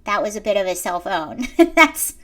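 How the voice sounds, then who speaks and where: A young woman with a high-pitched voice giving emphatic commentary straight to her viewers. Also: big pitch swings, moderate pace, fairly bright tone. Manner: emphatic, lecturing, addresses viewers directly. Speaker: commentary host. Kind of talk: reaction commentary to camera.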